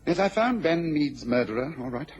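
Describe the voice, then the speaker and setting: An actor playing a keen, self-assured Victorian gentleman detective in a radio drama, brisk and emphatic, with a British accent and a husky voice. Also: a little high, sing-song, lo-fi sound. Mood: pleased, assured.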